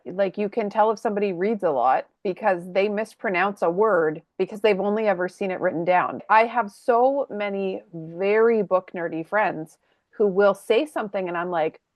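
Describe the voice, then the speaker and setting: A woman's voice: mid-range voice, moderate pace, no echo, muffled recording. Eager woman co-host, dry sound. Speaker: podcast co-host. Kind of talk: casual chat.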